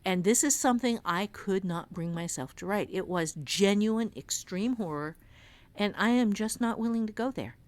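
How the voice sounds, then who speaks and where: A woman's voice: mid-range voice, normal speed. A middle-aged American woman speaks in a mid-range voice as she shares stories in a candid conversation. She is blunt and emphatic, with a dramatic way of recounting things, like a writing teacher talking from experience. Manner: emphatic, dramatic, blunt, quotes remembered dialogue. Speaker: author and writing teacher, guest.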